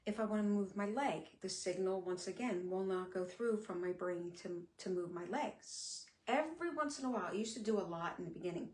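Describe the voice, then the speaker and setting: A middle-aged woman talking candidly on a live stream, her speech sometimes a little slurred, with many pauses and fillers. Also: medium pitch, a little mellow. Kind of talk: casual live-stream talk. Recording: echoey room.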